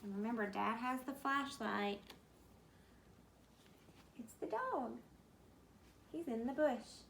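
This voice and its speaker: A woman teacher reading a picture book aloud to children, gently pointing out the pictures and explaining. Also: fairly high pitch, expressive, slow, vocal fry, pauses often, slight background noise.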